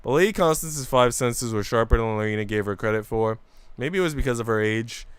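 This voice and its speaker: A man's voice: medium pitch, fairly quick, smooth, no room sound. A man streaming a visual novel, switching between casual, slangy chat and reading the story aloud. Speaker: game streamer.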